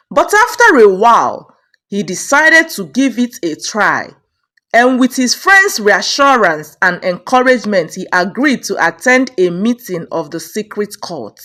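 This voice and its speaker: A woman's voice: medium pitch, fairly bright tone. A woman narrating a folktale as a storyteller, rising and swelling on the dramatic turns. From Nigeria.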